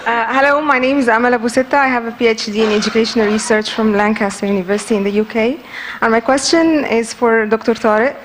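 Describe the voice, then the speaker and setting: A woman in the audience asking a long formal question at a panel, pausing often with fillers. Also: fairly high pitch, fairly even intonation, noisy background. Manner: formal, hesitant with many fillers.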